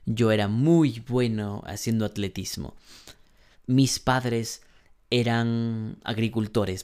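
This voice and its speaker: Male online English teacher, example-heavy explanations, frequent throat clearing. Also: mid-range voice. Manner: explains with many examples and rhetorical questions; clears his throat often. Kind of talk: online lesson.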